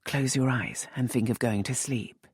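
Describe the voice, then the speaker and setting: A woman's voice: a bass voice, fairly even intonation, normal speed, a little mellow, breathy edge, no room sound, studio quality, heavily acted. A woman doing a wry young earl. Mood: gentle.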